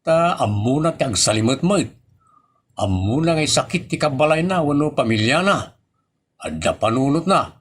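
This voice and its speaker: A man playing a sensible grown son chiding his father in a comedy audio drama, in a husky voice. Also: fairly high pitch, sing-song, moderate pace. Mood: reproachful.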